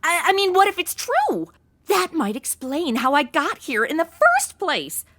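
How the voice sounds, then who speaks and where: A woman acts a young American valley-girl type in a comedy radio play. The voice is high and swoops around, blunt, casual and easily exasperated. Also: huge pitch swings, measured pace, a bit bright, full character voice. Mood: anxious, baffled. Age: young adult. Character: flighty, slangy young modern woman, easily rattled. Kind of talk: comedy audio drama acting.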